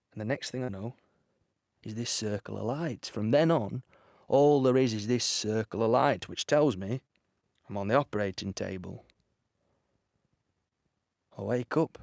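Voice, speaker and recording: A man's voice: mid-range voice, no echo, clean studio sound, lightly acted. An actor with a Yorkshire accent playing a plucky, wounded young British soldier telling his story in a colloquial, wry way. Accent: Yorkshire English. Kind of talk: first-person audio play monologue.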